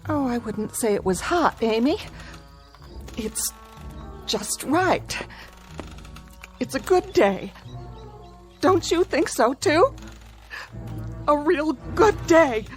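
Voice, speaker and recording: A woman's voice: high-pitched, very animated, slow, long pauses, faint music behind, heavily acted. Anxious, falsely cheerful farm mother. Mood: forced cheer. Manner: careful, falsely reassuring. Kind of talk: radio drama acting.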